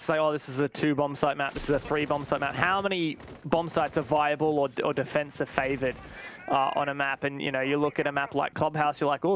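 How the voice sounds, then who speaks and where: A man's voice: on the high side, slight background noise. A man doing live esports commentary and analysis in a conversational manner.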